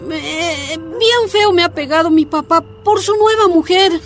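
A woman's voice: very high voice, measured pace, soft background music. A woman playing a defiant, plucky young girl with a Bolivian accent in a radio drama. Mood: tearful, timid.

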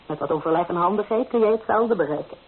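A woman's voice: on the low side, fast talker, breathy edge, narrow, tinny audio. An actress playing a practical, anxious middle-aged mother in a Dutch radio drama, genteel, soothing and admonishing. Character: practical, anxious, proper mother of a bride, conventional. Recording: hissy, band-limited old radio recording.

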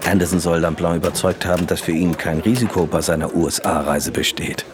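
An actor playing a serious, concerned company employee in a radio drama, grave and measured. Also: male voice, low-pitched, close to an everyday voice. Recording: lively crowd chatter behind. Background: café chatter.

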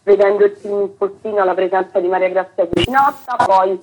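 A woman's voice: mid-range voice, fairly quick, noisy background. A woman being interviewed on the radio as a theatre director, explaining earnestly in long, fluent sentences.

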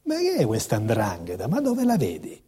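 A man's voice: mid-range voice, huge pitch swings, moderate pace, mellow, breathy edge. An actor playing a dismissive, insinuating male villager in a stage drama. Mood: scornful denial. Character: dismissive male villager gossiping about killings, smug denier. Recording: muffled, long hall reverb.

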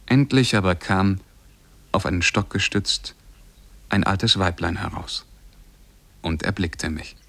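An actor playing a lovestruck, shy young man who tells his tale in measured, poetic German radio drama style. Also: low-pitched, vocal fry, fairly bright tone, full character voice. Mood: calm recounting. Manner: measured, poetic, careful diction.

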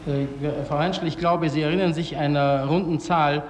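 A man puts formal questions to a witness in a large courtroom. His voice is a little high and carries a long reverb on the archival recording. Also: steady tone, normal speed, a little hiss or hum.

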